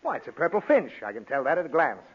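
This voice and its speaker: A man's voice: on the high side, wildly swooping pitch, fast, husky, full character voice. An actor playing an exasperated, short-tempered father, impatient and sputtering, in 1940s radio comedy. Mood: impressed. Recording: muffled, hissy old radio recording.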